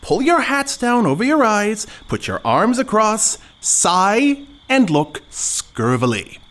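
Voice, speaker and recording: A woman's voice: mid-range voice, big pitch swings, takes it slowly, a bit bright. A woman playing a scheming, cheeky male servant in an audio farce, with a bustling, bossy, mock-grand delivery. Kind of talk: theatrical period farce in an audio play.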